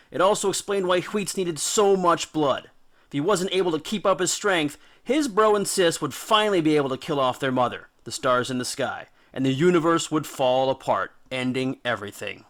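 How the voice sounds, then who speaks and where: A man's voice: on the high side, a bit bright. A man giving a scripted, informal educational narration, telling stories in a dramatic, jokey and slangy way with punchy emphasis. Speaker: narrator and host of a mythology video series.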